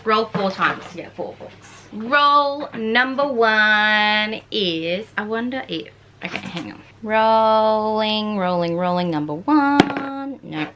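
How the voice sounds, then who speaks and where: An Australian woman in her twenties, chatting casually and informally in an excitable way, like a book vlogger. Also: medium pitch, slow pace, a little hiss or hum. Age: 20s. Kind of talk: casual chatty vlog talk.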